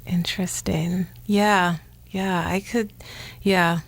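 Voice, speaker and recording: A woman's voice: fairly low pitch, slow, dry recording. A woman from Jamaica who grew up in the Bronx, hosting a radio interview in an affirming, chatty way. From Jamaica, raised in the Bronx. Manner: warm, affirming, lively filler-rich talk. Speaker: radio show host. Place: radio studio.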